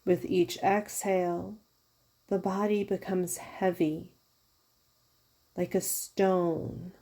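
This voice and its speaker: A woman leading a guided yoga nidra meditation as a teacher, giving soothing, unhurried instructions with pauses. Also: on the low side, little pitch movement, mellow. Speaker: yoga therapist guiding a meditation.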